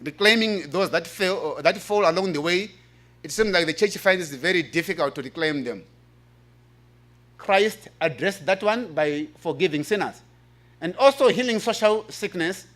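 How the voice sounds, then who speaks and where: A man with an African accent speaks in a high, forceful voice. He presents like a preacher at a conference, earnest and emphatic. He tends to repeat his key phrases to drive them home. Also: animated, normal speed. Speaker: presenter reading a paper at a church health forum.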